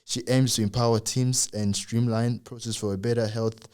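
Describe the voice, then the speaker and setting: A man's voice: mid-range voice, flat delivery, normal speed, darkish tone. A young adult man hosting an interview show, speaking casually with many uhs and restarts. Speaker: podcast host.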